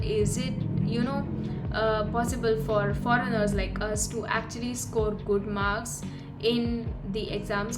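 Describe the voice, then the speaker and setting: A young woman, a university student, explaining in a conversational, advice-giving way for her vlog. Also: medium pitch. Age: young adult. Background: loud background music.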